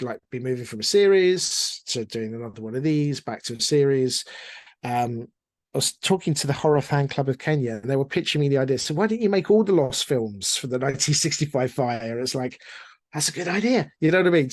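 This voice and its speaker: A man's voice: medium pitch, a little mellow, no room sound. A middle-aged man talking casually as an interview guest, chatty and digressive, with a self-deprecating way of speaking. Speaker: interview guest, audio drama producer.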